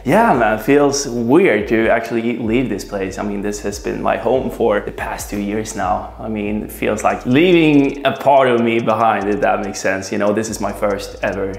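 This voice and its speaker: A man's voice: lowish voice, moderate pace, gravelly, few pauses. A young man in his twenties with a Swedish accent, casually showing viewers around his apartment and often checking in with "right?" and "you know". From Sweden. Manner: relaxed, chatty, peppered with "right?" and "you know". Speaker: vlogger. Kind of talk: casual vlog apartment tour. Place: apartment indoors.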